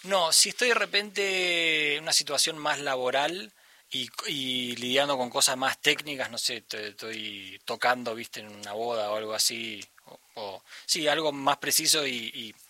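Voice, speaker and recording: A man's voice: medium pitch, normal speed, pushed and bright, no room sound. A young man with an Argentine accent, a musician guest chatting in an interview, thinking aloud with many fillers and restarts. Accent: Argentine Spanish. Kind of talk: casual interview conversation.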